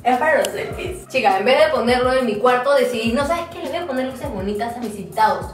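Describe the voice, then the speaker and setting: High-voiced young Peruvian woman, echoey rooms. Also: lively intonation, quick pace. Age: 20s. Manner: playful, mock-serious. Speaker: vlogger giving a house tour. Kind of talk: casual, joking house-tour chat to camera. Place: indoors, small hard-walled rooms of a house. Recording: echoey rooms on a camera mic. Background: clear background music.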